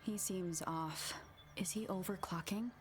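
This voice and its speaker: A wary young adventurer from a video game, played by a woman, quietly reasoning things out to herself. Her delivery is slow, measured and thoughtful, over clearly audible music. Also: on the low side, natural voice. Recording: music behind the voice.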